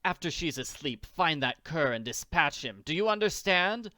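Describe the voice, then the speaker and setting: Wealthy comic-strip matron, male performer: haughty, melodramatic, high and smooth. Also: very animated, normal speed, sharp tone, no echo, clean studio sound. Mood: commanding. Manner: genteel, imperious. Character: haughty wealthy woman, melodramatic and cold-hearted.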